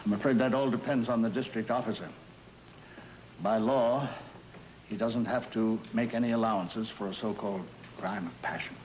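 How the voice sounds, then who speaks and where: A man's voice: on the low side, fairly even intonation, moderate pace, a little mellow, close to an everyday voice. Man acting a jealous older husband, noisy vintage recording. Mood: somber, grieving. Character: jealous, possessive older husband, menacing toward his wife. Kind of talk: old-time dramatic acting. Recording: noisy vintage TV drama soundtrack.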